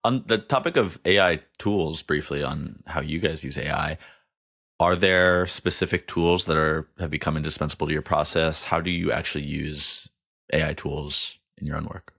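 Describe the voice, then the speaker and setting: Low-voiced male podcast host. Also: fairly even intonation, moderate pace, gravelly, a bit bright. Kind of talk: interview questions.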